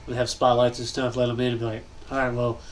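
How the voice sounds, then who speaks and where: A man's voice: medium pitch, monotone, fast. Young man, stuffy nasal voice, rambling game commentary, faint hum behind. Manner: rambling, thinking aloud, frequent coughs and throat clearing. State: congested, nasal. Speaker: gaming channel host. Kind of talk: casual commentary while building in a game.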